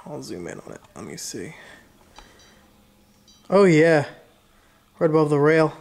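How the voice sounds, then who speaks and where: A man working as a paranormal investigator, chatting casually in a dark indoor hall. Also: on the high side, big pitch swings, unhurried, gravelly, a little hiss or hum. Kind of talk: casual chat during a ghost hunt.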